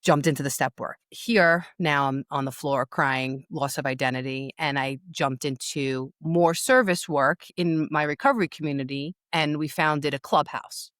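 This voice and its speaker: A woman's voice: deep voice, fairly even intonation, normal speed, few pauses, a bit bright, no echo, clean studio sound. A middle-aged woman from New York with a New York accent, telling her own story candidly and emphatically as a podcast guest. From New York, USA. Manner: candid, emphatic, repeats words for stress. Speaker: podcast guest, loss and empowerment coach. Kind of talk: personal storytelling in a podcast interview.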